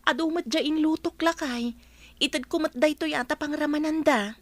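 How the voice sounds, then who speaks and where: A woman's voice: sits high, moderate pace, dry recording, close to an everyday voice. A woman playing a patient, reasoning wife in a radio drama, speaking with an Ilocano accent. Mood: agreeable.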